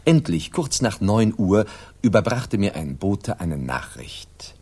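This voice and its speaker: A measured, literary storyteller in a sixties German radio mystery. The voice is very low and husky, with expressive swings in pitch. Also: male voice, heavily acted. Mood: measured, suspenseful. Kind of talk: scripted first-person narration in 1960s radio play.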